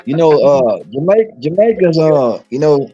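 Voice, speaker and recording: Guy from dallas, husky mellow voice, keeps repeating himself, dull lo-fi stream sound. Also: a little high, big pitch swings, moderate pace, faint music behind. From Dallas, Texas. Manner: repetitive, emphatic storytelling with many restarts. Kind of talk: casual live-stream chat.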